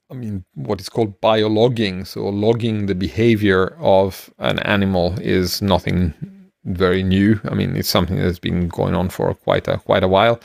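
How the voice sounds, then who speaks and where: A middle-aged man co-hosting a podcast, explaining things casually in a halting, rambling way. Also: sits low, vocal fry, no room sound, studio quality. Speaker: podcast co-host. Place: small room at home, on a video call. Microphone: close microphone, headphones.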